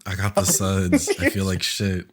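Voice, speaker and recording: A man's voice: sits low, little pitch movement, normal speed, a little hiss or hum. A young man co-hosting a podcast, congested from a cold, chatting casually with campy, dramatic rants and frequent laughs. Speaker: podcast co-host. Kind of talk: casual chat recapping a reality show.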